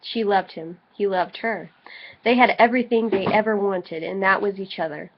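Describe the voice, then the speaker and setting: A woman's voice: mid-range voice, moderate pace, background noise. A slightly tipsy woman bantering casually and reading aloud for comic effect, informal, profane and giggly. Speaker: co-host of a dramatic reading video. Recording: dull lo-fi home recording.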